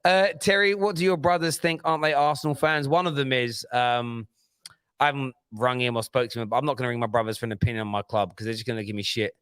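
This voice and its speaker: A man hosting a fan livestream in a laid-back, chummy way, reading out viewer messages. His voice is smooth and resonant at a middling pitch. The sound is dry and close, with no room around it. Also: fairly even intonation, fast, fairly bright tone, no echo. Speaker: host. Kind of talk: casual chat, livestream reading super chats.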